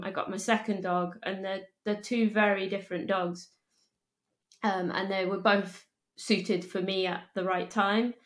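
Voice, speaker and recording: Woman, 30s, interview guest; level mid voice, halting delivery, roomy echo on a home call. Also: mid-range voice. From Liverpool, England. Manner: halting, with frequent restarts and ums. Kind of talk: casual conversational storytelling. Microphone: earphone mic on a video call. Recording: echoey room.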